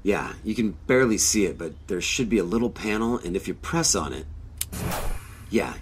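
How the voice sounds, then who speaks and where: A man's voice: on the low side, lively intonation, moderate pace, breathy edge. A man playing a dry, guarded companion in an audio drama, with a laconic, teasing way of talking. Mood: helpful. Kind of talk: naturalistic audio drama acting. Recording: faint background noise.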